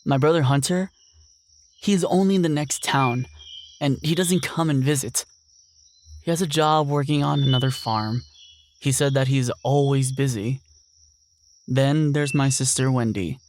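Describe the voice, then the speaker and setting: A man's voice: medium pitch, a little slow, pauses often, slight background noise, lightly acted. A young man playing an earnest, lonely ranch heir, speaking a weary, reflective monologue in an audio drama. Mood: resigned.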